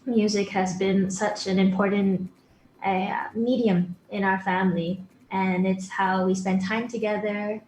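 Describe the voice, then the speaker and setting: A woman's voice: mid-range voice, level tone, a little slow, a little hiss or hum. Polite young woman in an echoey room. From Brunei. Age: 20s. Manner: polite, soft-spoken, addresses host as sir. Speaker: guest singer. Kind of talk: remote interview, casual.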